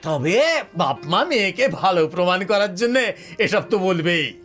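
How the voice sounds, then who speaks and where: An actor playing a talkative, smug police officer in a Bengali audio story, speaking colloquially with scoffing rhetorical tags. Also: male voice, sits high, very animated, unhurried, a bit bright, soft background music, heavily acted. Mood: dismissive. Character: Anishchay, talkative cocksure male police officer, smug and sardonic.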